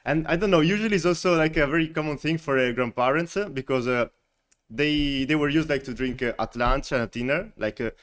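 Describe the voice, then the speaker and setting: Chatty Italian-accented man, smooth voice. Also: fairly high pitch, brisk, fairly bright tone. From Italy, Veneto. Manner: chatty, laughs often, many fillers. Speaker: wine company representative, guest. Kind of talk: casual interview chat.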